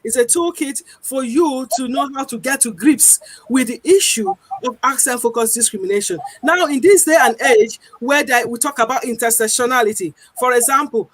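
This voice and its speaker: A woman with a Nigerian accent speaks earnestly and deliberately, her voice pitched a little high. She pauses often to gather her words, and the recording is dry and close. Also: no echo. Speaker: guest. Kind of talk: panel discussion.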